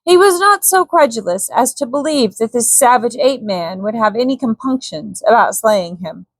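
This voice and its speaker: A woman reading a scripted bedtime story as a calm audiobook narrator. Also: a little high, normal speed, no echo.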